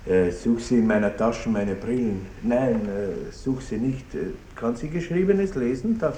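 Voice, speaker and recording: Blustering old baron, roomy sound. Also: male voice, medium pitch, expressive, mellow. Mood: gloating, self-satisfied. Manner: blustering, self-pitying, chuckling, drawn-out words. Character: pompous, lecherous, blustering aging country baron. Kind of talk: theatrical radio play acting. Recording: roomy 1960s radio drama, faint noise.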